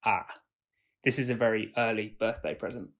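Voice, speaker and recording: A man's voice: fairly low pitch, steady tone, normal speed. Casting: actor as kind, socially awkward young man, tentative and earnest, audio drama dialogue. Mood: kind, shy.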